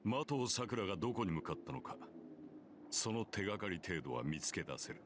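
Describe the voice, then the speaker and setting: A man playing a grim, composed priest in a Japanese visual novel, speaking in a measured, authoritative, matter-of-fact way. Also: low-pitched, sing-song, background noise. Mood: composed, instructive. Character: grim, composed adult male priest with cold pragmatism.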